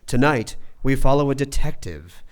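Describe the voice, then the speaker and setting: A man announces a radio drama with theatrical flair. His medium-pitched voice swings widely in intonation, and his delivery is formal and dramatic, like a storyteller's. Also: expressive, moderate pace. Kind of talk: theatrical scripted radio introduction.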